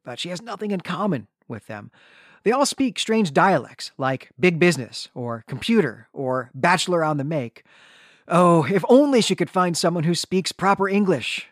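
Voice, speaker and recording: A man's voice: on the high side, no echo, studio quality. A man speaking as a podcast co-host, giving an articulate, enthusiastic and conversational recap and discussion.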